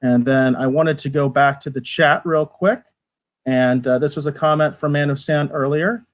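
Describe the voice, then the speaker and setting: A man's voice: on the high side, fairly even intonation, normal speed. A man co-hosting a livestream in a casual, conversational style. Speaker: podcast co-host. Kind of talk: casual livestream chat, reading viewer comments.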